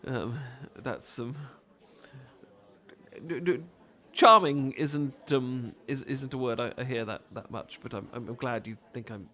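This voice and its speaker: A man's voice: mid-range voice, unhurried, pushed and bright. A man with a British accent playing a flustered, bashful, adorkable romantic who stammers and rambles apologetically in an intimate ASMR roleplay. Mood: shy, self-deprecating. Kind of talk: intimate ASMR roleplay acting, addressed to listener.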